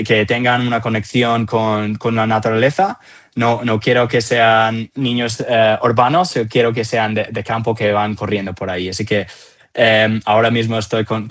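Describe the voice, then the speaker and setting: American-accented man, halting and conversational. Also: lowish voice. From Atlanta, southeastern United States. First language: English. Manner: hesitant, halting phrasing with repeated words. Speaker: company founder and CEO, interview guest. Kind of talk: conversational interview answers.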